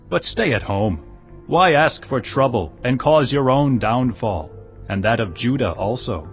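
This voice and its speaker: Proud taunting king, voiced by a man; animated delivery, lo-fi recording under a score. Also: mid-range voice. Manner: mocking, lecturing. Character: proud scornful ancient king sending a taunt. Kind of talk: dramatized audio Bible acting.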